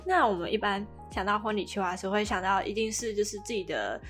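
A woman's voice: mid-range voice, expressive, fast, fairly bright tone, soft background music. A woman with a Taiwanese Mandarin accent co-hosting a podcast, casually recapping a drama in a chatty, explanatory way. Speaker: podcast co-host.

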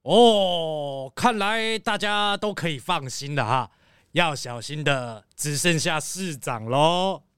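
A woman's voice: deep voice, animated, drawn-out words, fairly bright tone, clean tone, dry recording, studio quality, exaggerated voice. A woman playing a skeptical, teasing cruise passenger who drawls questions in a children's mystery audio drama.

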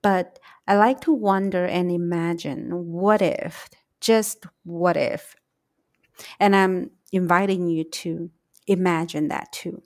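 A middle-aged woman with a Taiwanese accent hosting a solo reflective podcast, speaking in a deliberate, emphatic way. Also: lowish voice, steady tone. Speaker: podcast host, English teacher.

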